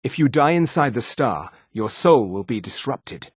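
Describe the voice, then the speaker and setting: A man playing an old, weary elven wizard in a fantasy video game, with a measured, grave and somewhat haughty delivery. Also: mid-range voice, sing-song, husky, dry recording, clean studio sound. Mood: focused, cautious. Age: older.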